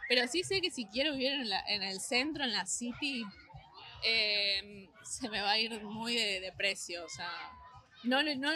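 Woman interviewee, bright tone, hesitant and reflective answers. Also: fairly high pitch, a little slow.

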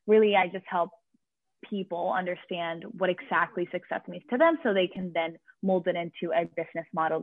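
A woman's voice: on the low side, dry recording. A woman from Venezuela with a Venezuelan Spanish accent, a podcast guest talking energetically with many restarts. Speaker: guest, career coach. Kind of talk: podcast interview. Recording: dry, tinny phone-like line.

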